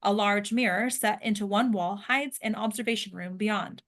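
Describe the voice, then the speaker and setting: A woman's voice: medium pitch, normal speed, fairly bright tone. A woman reading scripted stage directions as narrator of a screenplay table read.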